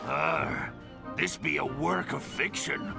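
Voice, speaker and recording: An actor playing an undead robot pirate captain in an animated game, gravelly, with a growling pirate drawl. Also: male voice, fairly high pitch, takes it slowly, heavily acted. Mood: scornful. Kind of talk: animated video game cutscene acting. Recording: echoey, distant, music behind.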